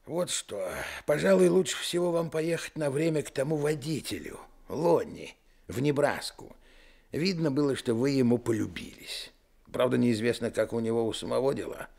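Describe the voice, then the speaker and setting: A man's voice: medium pitch, normal speed, creaky. An actor playing a kindly middle-aged man who reassures and encourages the boys, in a Soviet radio play. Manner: warm, reassuring, fatherly.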